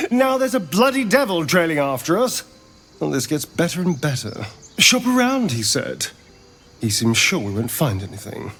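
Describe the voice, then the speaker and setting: A man's voice: on the high side, sing-song, normal speed, a bit bright, breathy edge, heavily acted. A man playing a wry, suspicious rogue in a fantasy video game, with a sardonic, theatrical way of speaking. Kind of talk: video game character dialogue.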